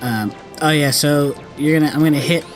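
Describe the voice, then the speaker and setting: A man's voice: fairly high pitch, normal speed, faint music behind. A man giving casual, rambling, sweary let's play commentary on a video game.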